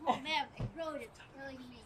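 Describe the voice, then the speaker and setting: A woman's voice: high voice, steady tone, a little fast, close to an everyday voice. A woman playing a whiny, distractible bumbler in a comic radio play, performed outdoors with an overdramatic manner. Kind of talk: comic stage acting. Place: outdoor parking lot theater performance. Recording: noisy outdoor stage performance.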